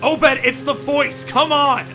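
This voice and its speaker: A man acting a stern, urgent leader in a period horror drama, commanding and grave, with loud music and rain. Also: very high-pitched, fairly even intonation, takes it slowly. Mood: shouting, desperate. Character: stern urgent man leading a terrified companion away.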